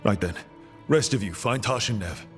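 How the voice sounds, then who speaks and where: An actor playing a steady, resolute team leader in a fantasy video game, measured and commanding. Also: male voice, lowish voice, close to an everyday voice. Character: steady, resolute male hero leading a team. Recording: music behind the voice.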